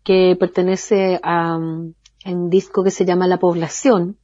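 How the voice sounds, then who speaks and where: A woman with a Chilean accent, a guest speaking slowly and thoughtfully with many pauses and fillers. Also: lowish voice, little pitch movement, dark tone, no room sound, highs cut off. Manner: slow, thoughtful, many hesitations. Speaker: guest from a community cultural center. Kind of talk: remote interview talk.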